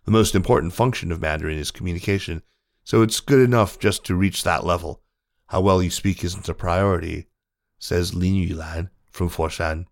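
Deep-voiced man narrating a news feature. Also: moderate pace, no room sound, clean studio sound. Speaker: article narrator.

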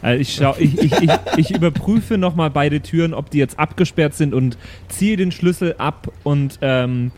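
A man playing a tabletop role-playing game, talking casually and haltingly with repeated words as he improvises. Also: on the high side, darkish tone. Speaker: tabletop role-play player.

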